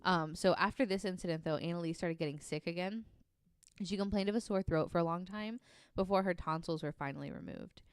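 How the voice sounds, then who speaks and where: Chatty woman telling a story, smooth voice. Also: on the low side, brisk, no echo, studio quality. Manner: chatty, many ums and likes, laughs easily. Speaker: podcast co-host telling a true story. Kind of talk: casual storytelling chat.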